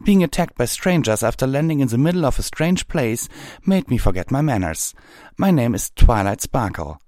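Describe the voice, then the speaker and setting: Man voicing an earnest young mare, pitched high. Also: sing-song, moderate pace, a little mellow, dry recording, clean studio sound, close to an everyday voice. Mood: flustered, confused. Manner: flustered, emphatic. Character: young female pony, proud, earnest, indignant student.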